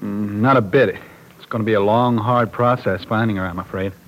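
An actor playing a tired, considerate father with measured, polite speech in an old radio soap opera. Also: on the low side, fairly even intonation, fairly bright tone, natural voice, low-quality recording. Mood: resigned. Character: weary, considerate, well-spoken father.

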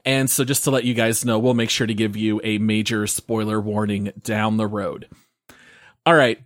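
A man's voice: on the low side, level tone, normal speed, dry recording. A man hosting a casual gaming podcast, upbeat and leading the discussion on a close mic. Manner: upbeat, leads the segment. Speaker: podcast host.

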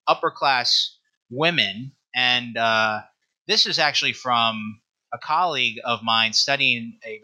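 Guy historian in an interview, really bright sharp voice, explains stuff emphatically, stops and restarts a lot. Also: medium pitch, poor audio. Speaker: guest author and historian discussing own book.

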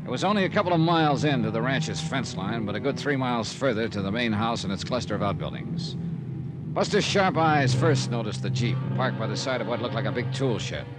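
An actor playing a steady, shrewd American investigator who narrates his case in clipped, dry 1950s radio drama style. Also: medium pitch, natural voice, poor audio. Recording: old radio recording, music behind.

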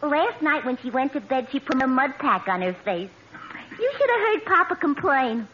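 An actress playing a chirpy, gossipy young tavern owner's daughter, gushing and chatty, in 1940s radio comedy style. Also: female voice, high-pitched, very animated, normal speed, slight background noise. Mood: gossipy, bubbly. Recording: muffled old radio recording.

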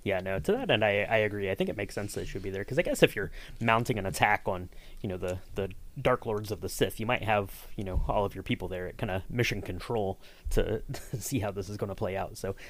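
Lower-voiced man hosting a podcast. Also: a little fast. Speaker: podcast host interviewing a writer. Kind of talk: conversational interviewing.

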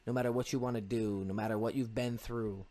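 A man's voice: fairly low pitch, steady tone, fast, mellow. Earnest young man chatting casually. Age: 20s. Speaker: podcast guest, amateur MMA fighter. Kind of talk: casual chat.

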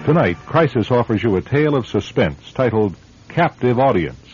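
A man narrating a scripted radio suspense drama as its host, in a dramatic, measured manner. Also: medium pitch, big pitch swings, darkish tone, slightly rough, soft background music. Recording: dull radio recording.

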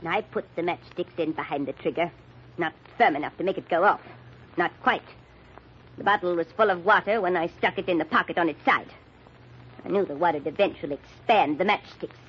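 An American actress playing a middle-aged, bitter and commanding murderer in an old-time radio mystery. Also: female voice, on the low side, a little fast, muffled recording, slight background noise, close to an everyday voice. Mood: grim confession. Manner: commanding, sardonic. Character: bitter, scheming older woman, a cornered murderer.

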